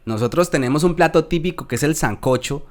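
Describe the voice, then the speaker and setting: A man with a Colombian accent hosting a casual interview, unhurried and thoughtful, often restarting his sentences. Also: on the high side, darkish tone. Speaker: podcast host.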